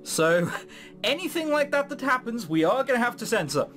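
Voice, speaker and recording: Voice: mid-range voice, very animated, normal speed, a bit bright, faint music behind. A let's play host giving casual, sweary, incredulous commentary while reading through a game. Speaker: gaming video host.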